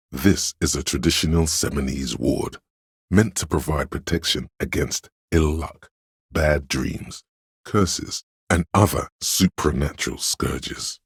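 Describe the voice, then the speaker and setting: An erudite inner voice from a video game, pitched extremely low. The sound is full of gravel and very husky rasp. He delivers facts in a deliberate, dryly pedantic way, as if addressing the player directly. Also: no echo, studio quality, full character voice. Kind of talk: measured game narration addressing the listener.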